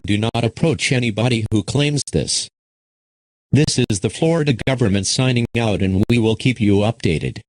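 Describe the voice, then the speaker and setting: Lowish-voiced stern man reading government alert. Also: darkish tone, no echo, rough recording. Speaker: government emergency announcer. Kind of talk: scripted emergency alert narration.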